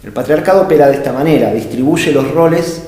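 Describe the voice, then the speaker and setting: A man's voice: a little high, fast, mellow. A man giving an unscripted philosophical talk, hesitating often and searching for words with fillers and restarts. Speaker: speaker at an art-gallery think tank. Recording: echoey hall with long reverb.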